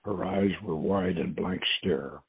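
A man reading an adventure story aloud in a dramatic narrating style, with a husky, gravelly voice and a halting delivery. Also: low voice, dry recording. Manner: halting, stumbles and corrects words. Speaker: host reading own novel aloud. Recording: tinny phone-line sound.

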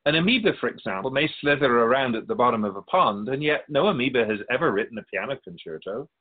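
Man playing a husky, patient all-knowing spirit. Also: medium pitch, expressive, quick pace, narrow, tinny audio, natural voice. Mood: patient, explanatory. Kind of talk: scripted radio drama dialogue.

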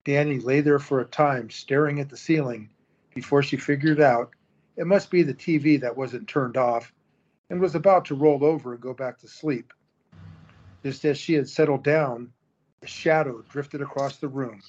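A man reading the narration and scene headings of an audio drama in a measured storytelling manner. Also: medium pitch, darkish tone. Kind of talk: scripted narration. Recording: dull lo-fi recording.

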